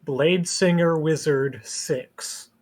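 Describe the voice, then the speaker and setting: Slow, terse man with a higher voice. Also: a little mellow. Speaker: tabletop game player. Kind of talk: casual chat. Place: remote call over voice chat.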